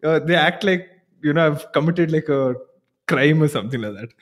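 A man's voice: on the high side, normal speed. A man with an Indian accent hosting a casual podcast conversation, chatty and given to linked asides. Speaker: podcast host.

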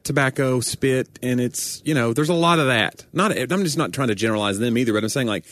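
A man's voice: medium pitch, animated, brisk, fairly bright tone. Southern man, joking casual chat. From Tennessee. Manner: joking, rambling storyteller. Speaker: podcast co-host.

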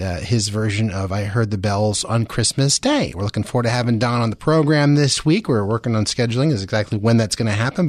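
A man's voice: medium pitch, no echo. A man hosting a radio show, introducing and questioning a guest in a conversational style.

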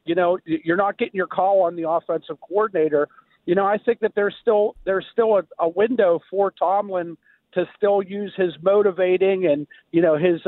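An American man phoning a radio show with casual sports opinions, speaking in a halting way over a phone line. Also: high-pitched, no room sound. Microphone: telephone. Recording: narrow tinny phone line.

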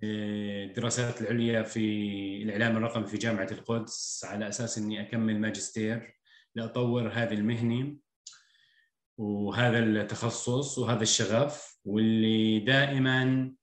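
A man in his thirties with a rural Palestinian accent, talking frankly as a podcast guest, reflective and quick to laugh. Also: on the low side, flat delivery. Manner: candid, reflective, laughs easily. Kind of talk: casual podcast conversation. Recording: echoey room with faint music.

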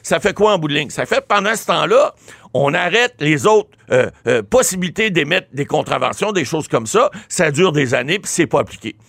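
Lawyer guy from ottawa on talk radio, lively up-and-down voice, kinda high, dry close mic. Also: moderate pace, creaky, dry recording. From Ottawa, Canada. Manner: animated, explanatory, emphatic. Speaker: lawyer guest. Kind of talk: radio interview.

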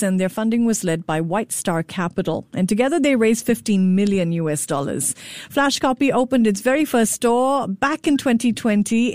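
A woman's voice: medium pitch, a little mellow, no echo. A woman hosting a business interview on the radio, presenting and questioning her guests.

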